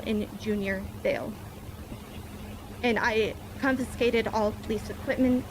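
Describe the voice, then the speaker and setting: A woman's voice: a little high, lightly acted. A woman playing a formal, nervous female police officer giving testimony in an online courtroom roleplay. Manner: formal, precise wording. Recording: clearly noisy game voice chat.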